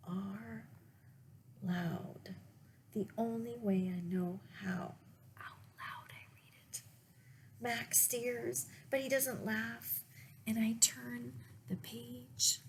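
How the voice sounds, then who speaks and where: Lady doing library storytime, reads really slow with pauses, bit of background noise. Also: on the high side. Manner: slow, deliberate phrasing with pauses. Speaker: children's librarian reading storybooks aloud. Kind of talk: read-aloud storytime with asides to young listeners.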